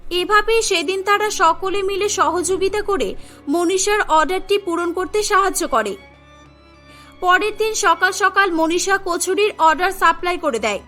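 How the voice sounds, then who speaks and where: A woman's voice: very high-pitched, measured pace, sharp tone. A woman narrating a scripted cartoon story as the storyteller. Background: background music.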